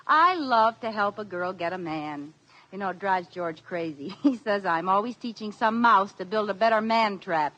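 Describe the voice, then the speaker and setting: Bubbly meddling young wife, played by an actress. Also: female voice, medium pitch, expressive, moderate pace, highs cut off, lightly acted. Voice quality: bubbly, giggly, coaxing. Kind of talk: 1940s radio comedy acting. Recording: noisy old radio broadcast.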